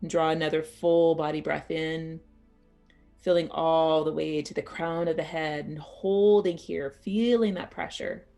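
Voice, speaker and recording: A woman teaching yoga nidra as a guided meditation, speaking soothingly and unhurriedly in short phrases with pauses. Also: deep voice, steady tone, gravelly, warm tone, soft background music.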